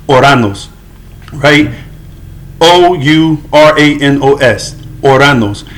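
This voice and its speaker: A man delivering a solo monologue to camera, arguing and lecturing in a questioning way, sometimes slowing and dropping quieter for emphasis. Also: medium pitch. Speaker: religious commentator.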